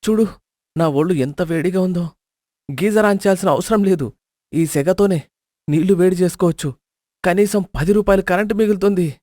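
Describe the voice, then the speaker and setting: A man's voice: high-pitched, moderate pace, pauses often, darkish tone, no echo, studio quality, natural voice. A man doing a haggling stingy miser. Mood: scheming. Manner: wheedling, penny-pinching, wry. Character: stingy middle-aged male miser, haggling. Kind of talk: storybook character voice.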